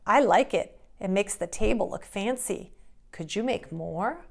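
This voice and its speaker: A woman's voice: mid-range voice, animated, a little slow, vocal fry. A woman voicing a friendly, encouraging man who runs a restaurant in a children's storybook, with a sing-song manner. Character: friendly, encouraging adult male restaurant owner. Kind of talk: children's storybook read-aloud.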